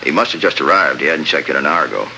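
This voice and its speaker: An actor playing a brisk, no-nonsense desert lieutenant, plain-spoken and matter-of-fact, in 1950s radio drama style. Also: male voice, a bass voice, animated, vocal fry, pushed and bright, full character voice. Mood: hushed, watchful. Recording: noisy old radio drama recording.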